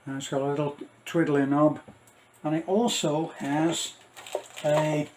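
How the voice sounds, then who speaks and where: A middle-aged man giving a casual, rambling unboxing commentary, halting and thinking aloud as he goes. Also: on the high side, flat delivery, darkish tone, a little hiss or hum, poor audio. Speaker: vlogger.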